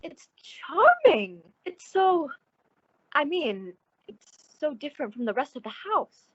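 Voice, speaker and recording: A woman's voice: high-pitched, very animated, slow pace, no echo, lo-fi sound, heavily acted. A woman playing a genteel, uneasy, concerned friend in an old-time radio suspense drama, speaking politely and hesitantly with theatrical expression. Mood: shocked, tactful.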